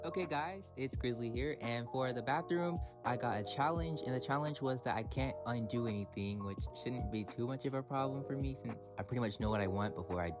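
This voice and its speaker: A man's voice: medium pitch, brisk, few pauses. A young man giving casual, chatty commentary as a guest player in a gaming video. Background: background music.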